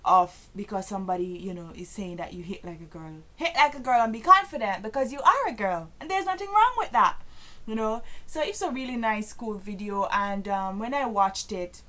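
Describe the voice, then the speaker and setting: A woman's voice: mid-range voice, lively intonation, normal speed, bright, forceful tone. A Jamaican woman talking casually to camera, earnest and full of fillers and restarts, growing emphatic and preachy as she goes. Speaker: vlogger.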